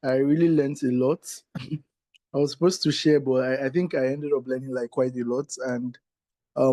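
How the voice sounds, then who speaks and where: A man's voice: medium pitch, mellow, no echo. A man with a Nigerian accent gives an informal report as a workshop facilitator, conversational and often checking in with listeners. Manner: conversational, often adding "right?" and "like". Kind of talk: informal spoken session report.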